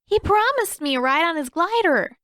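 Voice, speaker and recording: Woman as a high, pushy little girl. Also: animated, moderate pace, fairly bright tone, no room sound, clean studio sound. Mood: demanding. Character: pushy, demanding young fangirl.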